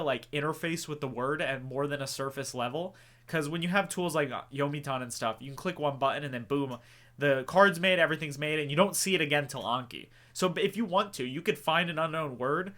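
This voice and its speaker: A man chatting to camera in a relaxed, rambling way, answering viewer questions with joking asides. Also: on the high side. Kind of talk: casual Q&A chat to camera.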